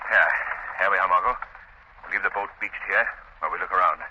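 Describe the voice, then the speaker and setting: An actor playing a resolute gentleman adventurer, firm, clipped and commanding in 1930s radio style. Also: sits low, a little fast, dull audio, lightly acted. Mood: wary. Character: brisk, resolute gentleman adventurer taking charge at sea. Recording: noisy band-limited 1930s radio broadcast.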